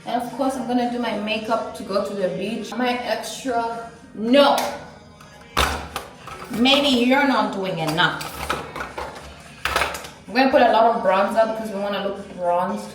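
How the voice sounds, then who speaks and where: A woman's voice: on the high side, unhurried, pauses often, music in the background. A young woman from Kenya chatting casually in a travel vlog, joking and laughing often, filmed on a phone. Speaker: vlogger. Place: beach outdoors. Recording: outdoor noise behind.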